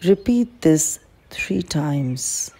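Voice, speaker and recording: Slow, low-voiced woman guiding a meditation. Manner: calm, gentle, measured. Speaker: clinical psychologist guiding a meditation. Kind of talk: guided meditation, instructional narration. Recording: faint background noise with birdsong.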